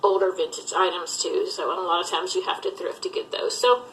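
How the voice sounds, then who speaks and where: A woman's voice: lowish voice, brisk, fairly bright tone, slight background noise, poor audio. A middle-aged woman, raised in Alabama, who runs a thrift resale channel, chatting casually and rambling with many fillers. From Southern US, Alabama. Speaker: thrift reseller vlogger. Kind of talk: casual tag video chat.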